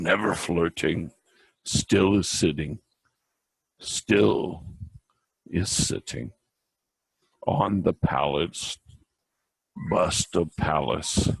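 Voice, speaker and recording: A man giving a theatrical dramatic poetry recitation in a gravelly, husky voice, with drawn-out pauses and emphatic repeats. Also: deep voice, no room sound, poor audio. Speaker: amateur reader of a classic poem.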